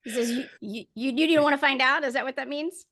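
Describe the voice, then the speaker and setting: High-voiced chatty woman hosting. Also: quick pace, fairly bright tone. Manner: chatty, informal, joking. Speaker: podcast host. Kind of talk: fun casual interview chat.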